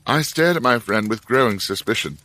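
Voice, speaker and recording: A thoughtful Victorian doctor with a mid-pitched, expressively varied voice. He is measured, dry and reflective, like someone narrating his own memoir in an audio drama. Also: expressive, pushed and bright. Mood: suspicious narration. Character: thoughtful, self-doubting Victorian doctor, the detective's companion. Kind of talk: first-person narration and audio drama dialogue.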